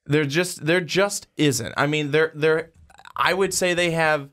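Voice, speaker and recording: A young man co-hosting a sports podcast in a studio, talking in a measured way with frequent restarts. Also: fairly high pitch, fairly bright tone. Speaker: podcast co-host. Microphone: studio microphone.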